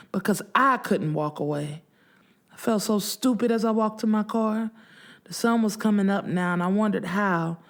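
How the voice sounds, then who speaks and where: A woman narrating first-person fiction as an audiobook, with emotive, sorrowful delivery. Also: mid-range voice.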